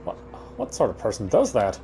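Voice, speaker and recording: Guy reading a story game out loud, soft edged voice, trips over words, bit of music under him. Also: fairly low pitch, wildly swooping pitch, a little fast. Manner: stumbles and rereads phrases. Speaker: game streamer reading aloud. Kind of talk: reading visual novel narration aloud.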